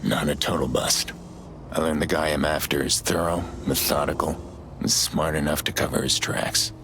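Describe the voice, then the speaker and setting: An actor playing a gruff middle-aged vigilante hacker in a video game, terse and deadpan, with a gravelly voice. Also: male voice, moderate pace, noisy background. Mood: grim, measured. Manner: terse, low-key, deadpan. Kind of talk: video game dramatic acting.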